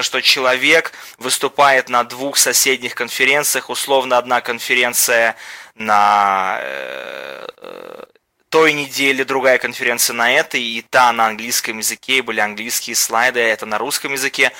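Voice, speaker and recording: A man on a panel stating his views firmly and categorically, in a deliberate way. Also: mid-range voice, bright, forceful tone. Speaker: podcast guest, conference speaker. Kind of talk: panel discussion.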